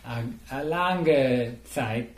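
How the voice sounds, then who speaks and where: A man whose first language is Tamil, speaking haltingly and searching for words in a casual group discussion. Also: medium pitch, echoey room. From India.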